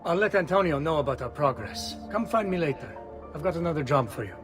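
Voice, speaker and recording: A man as brisk thief. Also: fairly high pitch, animated, music in the background, close to an everyday voice. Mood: impressed, brisk. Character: brisk, streetwise Italian thief giving orders. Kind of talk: video game cutscene acting.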